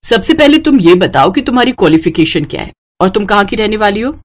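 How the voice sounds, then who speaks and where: A woman's voice: mid-range voice, sing-song, fast talker. A woman voicing a snobbish, hot-tempered wealthy mother-in-law in a Hindi moral story, haughty and scolding. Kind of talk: Hindi moral story narration with voiced characters.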